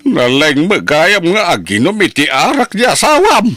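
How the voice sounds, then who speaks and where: Man acting animated, high-voiced Ilocano village uncle. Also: normal speed, no room sound, full character voice. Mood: disapproving. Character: village uncle, helpful but easily scandalised elder. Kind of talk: amateur Ilocano radio-style drama acting.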